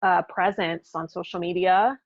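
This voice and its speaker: A woman co-hosting a podcast, chatting casually in a measured, agreeable way. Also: on the low side, fairly even intonation, no echo, dull audio. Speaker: podcast co-host. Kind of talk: casual conversational chat.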